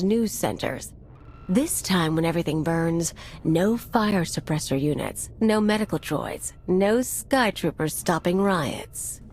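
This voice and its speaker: Game role: vengeful woman bomber, mocking and brazen, slightly low register, sardonic delivery. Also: a little mellow, a little hiss or hum. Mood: cold, resolute. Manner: sardonic, taunting. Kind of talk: video game cutscene acting.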